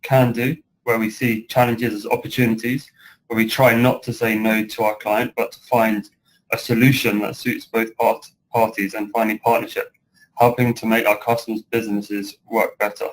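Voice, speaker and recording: A British man of around thirty giving a measured webinar presentation as a guest speaker. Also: on the low side, level tone, slight background noise, low-quality recording. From United Kingdom. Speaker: guest speaker, project director.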